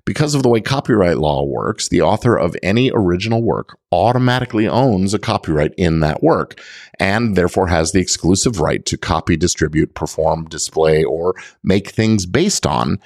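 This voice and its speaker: A man's voice: sits low, lively intonation, moderate pace, darkish tone, no room sound, clean studio sound. A middle-aged man hosting a podcast, explaining things step by step to listeners with emphasis on key words. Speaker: podcast host; lawyer.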